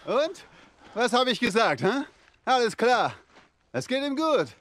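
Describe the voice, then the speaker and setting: A man's voice: extremely high pitch, very animated, measured pace, a bit bright, exaggerated voice. An actor playing a young male survivor in a video game, a protective and confident older brother who speaks informally and assertively. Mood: agitated, pleading. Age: young adult. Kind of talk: dramatic video game acting.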